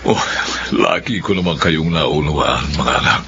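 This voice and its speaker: A man playing a gentle, God-fearing retired soldier father in a radio drama, with a Filipino accent. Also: extremely low pitch, moderate pace, a little hiss or hum, exaggerated voice. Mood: fond, proud. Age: older. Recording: dull lo-fi radio sound, faint music.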